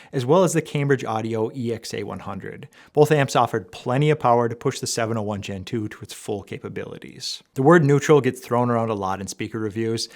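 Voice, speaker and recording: A man presenting a semi-scripted product review as an audio equipment reviewer, explaining in a measured way and stressing key words. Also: medium pitch.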